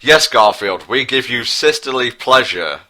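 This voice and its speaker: A man's voice: medium pitch, expressive, a little slow, bright, forceful tone. A man voicing a swooning, eager young princess in stilted broken English for a comic dramatic reading.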